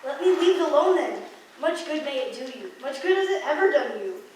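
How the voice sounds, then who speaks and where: A woman's voice: sits high, sing-song, a little fast, darkish tone. A young woman student acting a grumpy, curt, miserly old businessman in a radio play. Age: teenager or young adult. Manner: curt, dismissive. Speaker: student actor. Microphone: stand mic, recorded from distant camera. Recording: echoey room, far mic, background noise.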